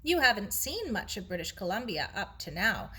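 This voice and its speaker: A kindly, composed aunt from a storybook , voiced by a woman. She sounds affectionate and reassuring, with a bright, animated delivery. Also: medium pitch, normal speed, slightly rough, heavily acted, poor audio. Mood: pleased, reassuring.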